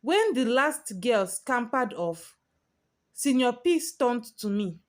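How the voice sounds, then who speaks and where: High-voiced woman telling a story, unhurried. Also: big pitch swings. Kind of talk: dramatic scripted first-person story narration.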